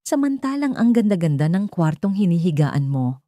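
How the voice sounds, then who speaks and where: A woman's voice: on the low side, very animated, normal speed, warm tone, dry recording, clean studio sound. Woman as a haughty, spiteful bullying girl. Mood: spiteful, taunting. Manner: bossy, taunting.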